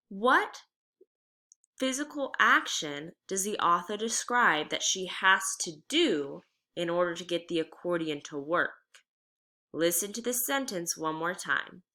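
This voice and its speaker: A woman teacher giving a read-aloud lesson, explaining deliberately and reading expressively. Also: on the low side, pushed and bright.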